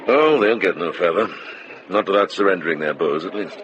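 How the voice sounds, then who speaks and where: An actor playing a wise, kindly, seasoned medieval monk in a radio drama, unhurried and gently wry. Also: male voice, sits low, animated, dull audio, background noise. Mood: dry, wry. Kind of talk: British radio drama acting.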